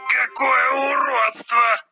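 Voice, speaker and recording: A gloating, gravelly evil troll, shouting boastfully in a high, slow-paced voice. He sounds mischievous and theatrical, made for a children's storybook recording. Music plays clearly behind him. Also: fairly even intonation. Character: gleeful evil male troll inventor of a mirror. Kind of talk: children's audio fairy tale acting.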